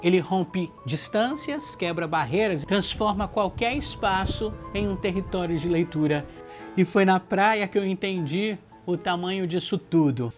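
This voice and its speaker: A Brazilian narrator speaks in a medium-pitched voice over background music. The delivery is earnest and reflective, clearly scripted. Thoughts unfold as rhetorical lists of short phrases. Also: expressive, measured pace. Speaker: book project organizer and narrator.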